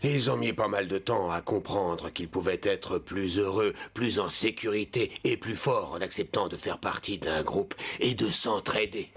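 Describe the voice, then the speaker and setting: A man voicing a wise old ghost horse in a children's cartoon, telling a solemn lesson in measured phrases. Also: sits low, husky, exaggerated voice. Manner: solemn, instructive, measured storytelling. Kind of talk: French dubbed children's cartoon acting. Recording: loud music behind the voice.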